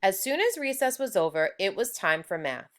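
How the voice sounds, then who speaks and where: A woman reading a children's story aloud in a deliberate, expressive storyteller's manner. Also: mid-range voice. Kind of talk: children's book read-aloud.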